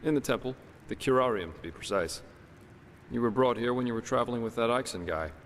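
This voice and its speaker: A man's voice: mid-range voice, animated, a little fast, close to an everyday voice. An actor playing an offhand, conversational male companion in a fantasy game. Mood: friendly, casual. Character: casual, friendly-seeming male companion turning contemptuous. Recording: reverberant hall with faint noise.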